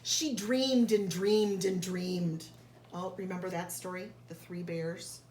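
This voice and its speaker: A woman reading a picture book aloud to young children like a teacher, pausing to explain and carefully sounding out key words. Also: fairly low pitch, fairly even intonation, darkish tone. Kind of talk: read-aloud storytelling with explanatory asides. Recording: echoey room, faint background noise.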